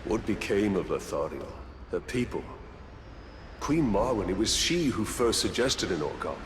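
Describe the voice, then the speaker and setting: An actor playing a solemn, calculating ancient elf wraith in a video game, with a formal, grave delivery. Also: male voice, on the low side, animated, moderate pace, natural voice. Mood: questioning. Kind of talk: video game character acting. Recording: echoing, with clear background noise.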